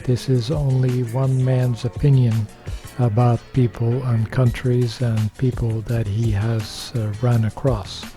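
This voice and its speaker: A man's voice: mid-range voice, flat delivery, slow pace, over music. An older man from Norway talking casually to camera, rambling with many fillers and sharing opinions. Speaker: vlogger.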